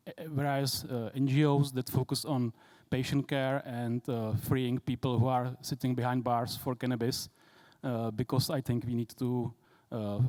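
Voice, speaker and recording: A man, a magazine editor and activist, introducing himself haltingly with many fillers. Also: medium pitch, a little mellow. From Czech Republic. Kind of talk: panel introduction.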